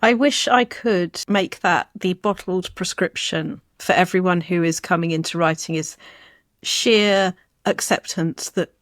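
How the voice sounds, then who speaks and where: A woman's voice: fairly low pitch, unhurried, no room sound, clean studio sound. A woman hosting an interview in a conversational, encouraging and reflective manner. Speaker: interview host.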